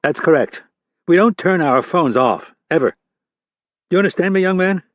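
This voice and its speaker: A man's voice: sits high, animated, normal speed, no echo, voice pushed up. A man voicing a stern, intimidating male FBI executive who barks orders at a junior agent. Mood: stern, threatening. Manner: barking, intimidating.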